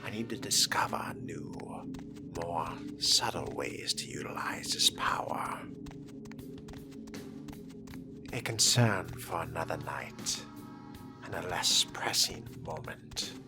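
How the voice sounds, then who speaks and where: A man playing a brooding, menacing young fledgling vampire in a game roleplay, murmuring in deliberate, formal words. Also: low-pitched, in a whisper. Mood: intoxicated musing. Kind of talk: in-character game roleplay narration. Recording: music behind the voice.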